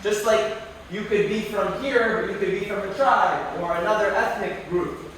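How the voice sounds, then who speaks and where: A man's voice: sits high, normal speed, a bit bright. A man speaking as a guest in a university classroom, chatty and rambling, often asking students questions. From United States, near New York City. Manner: chatty, rambling, many fillers and self-corrections. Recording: echoey room, distant mic, faint noise.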